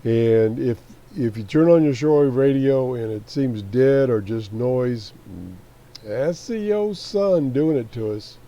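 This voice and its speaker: A man hosting a hobby video with casual, unscripted commentary in a folksy, halting way. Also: mid-range voice, mellow, highs cut off. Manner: folksy, exclaiming, halting while browsing. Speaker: radio hobbyist video host.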